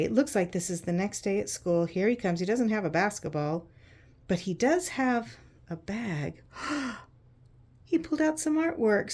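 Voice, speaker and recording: A woman narrating a picture book to children in an expressive, conversational way, using simple explanations and checking in with questions. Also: mid-range voice. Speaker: children's read-aloud host.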